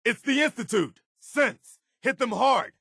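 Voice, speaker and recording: A man's voice: very high voice, expressive, measured pace, vocal fry, a bit bright, slightly rough, dry recording, exaggerated voice. A principled, battle-weary militia soldier from a video game. He is earnest and direct, with clipped military phrasing and a loyal streak. The sound is very dry, with no room around the voice. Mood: alert, urgent battle shouts. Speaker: video game companion character. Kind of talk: scripted game dialogue and combat barks.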